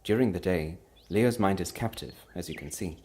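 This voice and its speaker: A man's voice: low-pitched, normal speed, darkish tone, slight background noise, lightly acted. An impassioned storybook wife , acted out by a man, proud and defiant through her anguish. She speaks emphatically, her voice trembling with feeling as she stands up for the husband she loves. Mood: proud, wistful. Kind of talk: dramatic storybook character reading.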